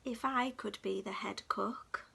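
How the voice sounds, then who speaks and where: A woman's voice: mid-range voice, unhurried, vocal fry, sharp tone, husky, pitched below the performer's own voice, exaggerated voice. A woman voicing a small, eager male duck with a plaintive, insistent manner in a children's story. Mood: dreamy, murmuring.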